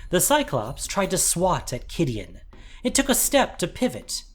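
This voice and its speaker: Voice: mid-range voice, wildly swooping pitch, unhurried. A narrator reading a scripted dramatic fantasy story, with music behind.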